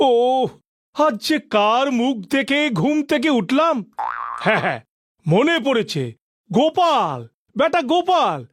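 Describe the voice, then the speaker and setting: A man's voice: very high-pitched, sing-song, a little slow, no echo, clean studio sound, heavily acted. A man voicing a petulant, superstitious, hot-tempered king in a comic cartoon, imperious and exclamatory. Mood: outraged. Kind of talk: Bengali comic cartoon voice acting.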